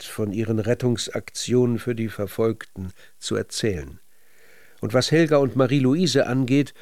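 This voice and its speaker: A man narrating a literary radio documentary in a measured, sober manner. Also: mid-range voice, a little mellow, dry recording, studio quality.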